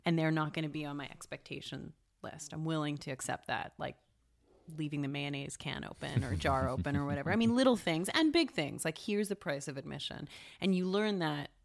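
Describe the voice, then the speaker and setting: Gravelly-voiced man chatting animatedly. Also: on the high side, fairly quick. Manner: animated, asks rhetorical "right?" and jokes. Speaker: podcast co-host. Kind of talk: casual conversational chat.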